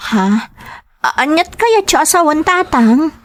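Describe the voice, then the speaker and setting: A woman's voice: sits high, expressive, a little slow, dry recording. A woman playing a timid, beleaguered young wife in an audio drama, hesitant and pleading. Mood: nervous, evasive.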